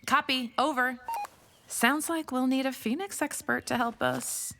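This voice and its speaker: An actress playing a caring, cautious forest ranger mom, expressive and protective, in an audio adventure drama. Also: female voice, sits high, unhurried, gravelly, noisy background. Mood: calm.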